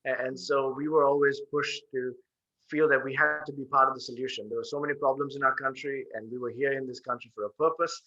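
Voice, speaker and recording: A Sri man in his thirties answers interview questions over a video call from a room with bookshelves. His voice is bright and forward, and he talks earnestly and fluently, dropping in frequent fillers. The webcam audio sounds dull and lo-fi. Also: mid-range voice, steady tone, fast. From Sri Lanka. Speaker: guest, peace organization founder.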